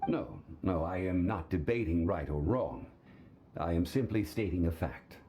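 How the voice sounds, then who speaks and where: An actor playing a calm, learned man in a game, reasoning in a measured, composed and polite way. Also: deep voice, dull audio, background noise. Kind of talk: video game voice acting.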